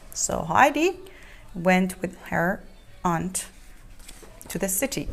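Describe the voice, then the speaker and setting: A woman reads a children's story aloud, haltingly, repeating and explaining words as she goes. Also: mid-range voice. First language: not English.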